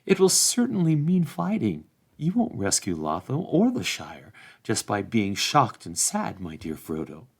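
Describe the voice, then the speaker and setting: An earnest, commanding hobbit adventurer from a fantasy storybook, read aloud by a man. He speaks with urgency and emphasis, his pitch swinging widely as he presses his companions to act. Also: wildly swooping pitch, unhurried, creaky, breathy edge, heavily acted. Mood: firm.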